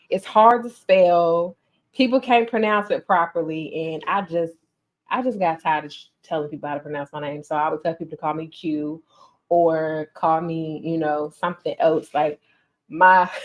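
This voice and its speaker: A woman, chatting casually and animatedly as a podcast host on a livestream. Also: lowish voice. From North Carolina, US. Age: 30s. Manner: chatty, animated, addresses listeners as y'all.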